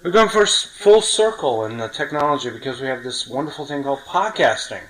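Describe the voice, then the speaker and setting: A man's voice: mid-range voice, lively intonation, brisk, fairly bright tone, low-quality recording. A man hosting a podcast, talking casually and explaining his opinions at length. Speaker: podcast host.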